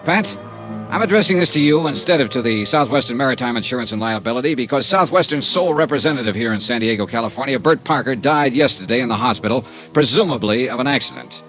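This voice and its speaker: An American actor playing a tough, steady insurance investigator with a brisk, clipped manner in a 1950s radio detective drama. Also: male voice, mid-range voice, soft background music, lightly acted. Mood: brisk, businesslike. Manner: brisk, clipped, hard-boiled.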